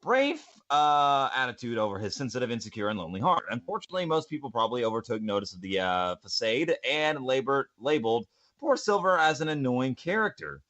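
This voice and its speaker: A young man hosting a podcast, animated and goofy, giving opinionated list commentary with casual banter. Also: mid-range voice, fairly quick, hardly any pauses, muffled recording. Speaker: podcast host and amateur voice actor.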